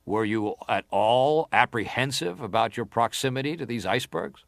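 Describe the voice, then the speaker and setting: A man acting a middle-aged senator in a dramatised hearing, putting probing, formal questions in a deliberate, pressing way. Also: mid-range voice, animated, creaky, fairly bright tone, no echo, studio quality. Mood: probing, insistent. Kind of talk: dramatised reading of hearing interrogation.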